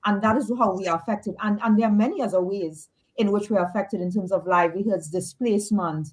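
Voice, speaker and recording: A woman's voice: mid-range voice, quick pace, low-quality recording. A woman with a Trinidadian accent, a guest on a radio talk show, explaining research earnestly with some restarts. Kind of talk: radio interview.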